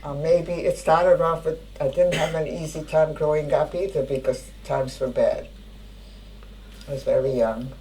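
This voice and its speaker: An older woman, a native Hungarian speaker, giving spoken testimony in a halting way, often searching for words. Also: low voice, fairly even intonation, dark tone, slight background noise, poor audio. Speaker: interviewee.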